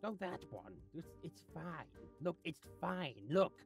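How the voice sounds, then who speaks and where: A performer voicing an arrogant, exasperated creature who thinks itself a genius, raspy and theatrical, in a comic gameplay series. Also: mid-range voice, sing-song, unhurried, soft background music. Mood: smug, dismissive. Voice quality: raspy, gravelly. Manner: theatrical, haughty, melodramatic rants. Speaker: voiced character in a gaming series. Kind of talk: voice-acted gameplay comedy.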